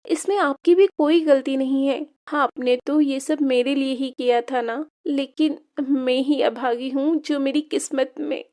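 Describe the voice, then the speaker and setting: A woman's voice: high-pitched, fairly even intonation, unhurried, few pauses, mellow. A woman voicing a meek, sorrowful stepdaughter in her early twenties in a Hindi moral-story cartoon, submissive and pleading. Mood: sad, submissive. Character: meek, sorrowful 22-year-old mistreated stepdaughter.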